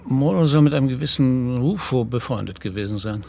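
An actor playing a composed, considerate middle-aged police commissioner in a radio play, husky and gravelly, asking questions in a measured, polite way. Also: male voice, mid-range voice, lively intonation, warm tone, slight background noise. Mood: businesslike.

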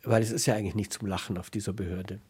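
A man's voice: lowish voice, steady tone, very fast, a little mellow, husky. A man hosting a radio interview in an analytical, formulated way. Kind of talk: analytical interview talk and scripted sign-off.

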